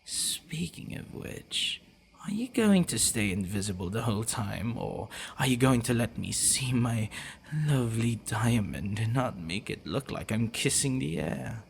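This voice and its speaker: A man playing a possessive, teasing, devoted vampire boyfriend in an intimate ASMR roleplay, speaking in a hushed, breathy way with hesitant pauses. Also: medium pitch, expressive, slight background noise. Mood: teasing, fond.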